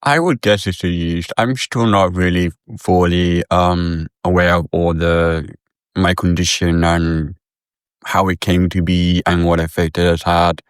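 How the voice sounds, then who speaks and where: A very low, deep-voiced teenage oddball who turns into yeast, played by a man. He explains his strange condition in a flat, earnest, meandering way. The recording is dry and close. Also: a little slow, clear, resonant tone, dry recording. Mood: deadpan. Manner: deadpan, earnest, rambling explanations. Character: odd deadpan teen boy who turns into yeast. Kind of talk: improvised comedy podcast character.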